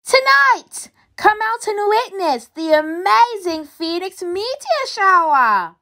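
A woman voicing a bold, excitable, space-mad little girl in a storybook, with theatrical exclamations. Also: extremely high pitch, slow, pitched above the performer's own voice, exaggerated voice. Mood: excited.

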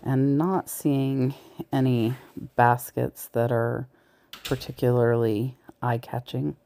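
A woman's voice: a bass voice, level tone, slow, creaky, dark tone. A woman chatting to viewers as she browses the shelves of a large thrift store, filmed with a body-worn camera, sometimes dropping to a whisper. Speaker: thrift reseller vlogger. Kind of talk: casual shop-with-me narration. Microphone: body-worn camera mic, point of view.

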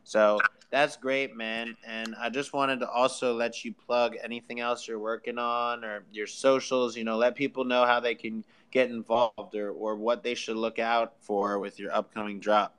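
Male podcast host for a casual live audio room. Medium pitch, slangy, promotional hype. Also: level tone, moderate pace, hardly any pauses, a bit bright, clear, resonant tone.

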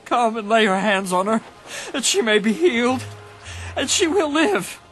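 A man's voice: very high-pitched, big pitch swings, normal speed, fairly bright tone, faint music behind, exaggerated voice. An actor playing a desperate father, pleading earnestly and in anguish in a dramatized audio Bible. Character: desperate father, a synagogue ruler, begging.

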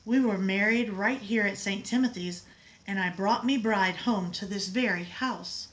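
A woman's voice: medium pitch, a little slow, lightly acted, low-quality recording. Woman putting on a dad, middle-aged man, folksy, lilting, fond storyteller.

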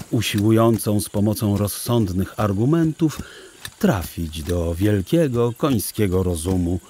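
A man with a low voice narrates a children's story. His delivery is slow and literary, with lively swings in pitch that suit a scripted audio drama. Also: slight background noise.

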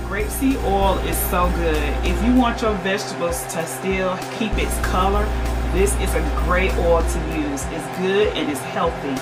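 A woman's voice: on the low side, normal speed, over loud music. A woman in her forties chatting casually while she cooks in a home kitchen, rambling with fillers and restarts. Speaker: cooking vlogger. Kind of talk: casual cooking chat.

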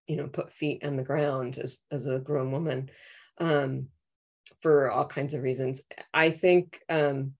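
A middle-aged woman poet talking reflectively and haltingly on a video-call panel, repeating words as she thinks. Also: rumbling low. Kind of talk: reflective, unscripted panel talk. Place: small home room on video call. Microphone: video call microphone.

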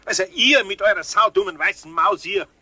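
A man's voice: high-pitched, expressive, fairly quick, sharp tone, husky, no echo, full character voice. An actor playing a flustered older Bavarian master craftsman in a German radio play, blustering and folksy. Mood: exasperated grumbling.

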